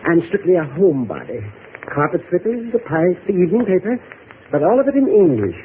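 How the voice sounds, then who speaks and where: An actor playing a nervous, fawning homebody in a radio drama, stammering and effusive. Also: male voice, high-pitched, huge pitch swings, fairly quick. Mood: nervous, wistful. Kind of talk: old-time radio drama acting. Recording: tinny noisy old radio recording.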